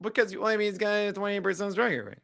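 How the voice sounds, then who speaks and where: Male podcast co-host, excitable and jokey, bright tense tone, close dry mic. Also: high voice, racing, vocal fry, dry recording. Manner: animated, joking, lots of "like" fillers. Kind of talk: casual baseball analysis chat.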